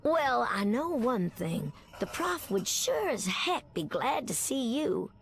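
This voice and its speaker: Tough flirty superheroine, American Southern drawl, creaky edge, animated melody, video game. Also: female voice, a little high, takes it slowly, slight background noise, heavily acted. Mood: concerned. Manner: drawling, folksy, teasing. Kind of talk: video game character voice acting.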